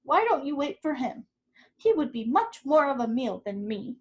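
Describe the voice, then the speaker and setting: A woman voicing the middle-sized billy goat, polite and nervous, speaking up a little higher than her narration. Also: big pitch swings, normal speed, highs cut off. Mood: earnest.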